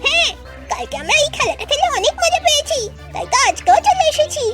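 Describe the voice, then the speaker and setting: A woman's voice: extremely high pitch, sing-song, fast talker, loud music behind the voice. A woman voicing a mischievous little crow chick in a cartoon, childlike and eager. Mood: gleeful, eager. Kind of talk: cartoon story voice acting.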